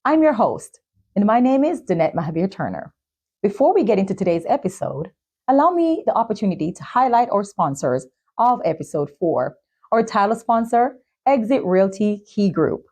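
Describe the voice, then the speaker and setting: A woman speaking as the host of a talk show and podcast, with a husky voice. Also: mid-range voice, very animated, normal speed, a little mellow. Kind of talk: scripted intro and sponsor reads, then supportive interviewing.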